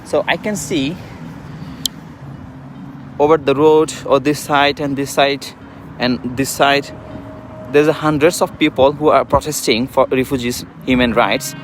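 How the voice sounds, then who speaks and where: A man with a Bangladeshi accent vlogging live from a street, speaking in a halting, earnest, repetitive way. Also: mid-range voice, steady tone, a little hiss or hum. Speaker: vlogger and refugee activist. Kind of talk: live street vlog, informal. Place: outdoors at a busy roadside protest.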